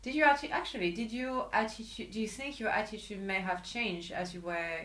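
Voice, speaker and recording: A woman's voice: mid-range voice, brisk, a bit bright, reverberant. A woman interviewing a student, chatty and laughing often, with rambling restarts. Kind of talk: casual interview.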